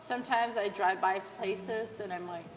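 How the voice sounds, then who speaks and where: A woman in her thirties from the Philippines tells stories in a chatty way and often breaks into laughter. Her voice carries a long reverb, and clear background noise runs beneath the casual chat. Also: medium pitch, normal speed. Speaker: podcast host. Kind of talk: casual chat over coffee. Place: coffee shop.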